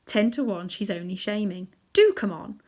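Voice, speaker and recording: A woman reading aloud, voicing a bossy, spiteful young girl with a shrill, insistent way of talking. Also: animated, normal speed, darkish tone. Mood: scolding.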